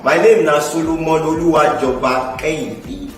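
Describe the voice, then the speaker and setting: A man's voice: fairly high pitch, moderate pace. A man from Nigeria with a Nigerian accent, giving a monologue about himself for a video. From Osun State, Nigeria. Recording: very echoey, distant, music behind.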